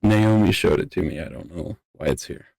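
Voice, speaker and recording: A man's voice: low-pitched, brisk, vocal fry, mellow. A man playing a casual, wryly teasing young courtier with a hesitant delivery in an improvised Minecraft roleplay. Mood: matter-of-fact.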